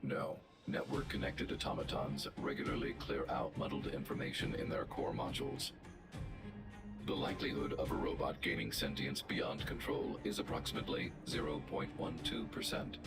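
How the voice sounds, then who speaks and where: An actor playing a huge, logical male robot guardian in a video game, with a flat, precise, mechanical delivery. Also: extremely low pitch. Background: game music.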